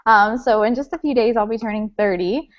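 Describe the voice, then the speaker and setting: A woman's voice: on the high side, brisk, highs cut off, heavy background noise. A woman in her late twenties, speaking as a guest on an online call, telling her story in a friendly, chatty way. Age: 20s. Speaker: guest speaker, direct sales leader. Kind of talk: informal training talk. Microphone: video call.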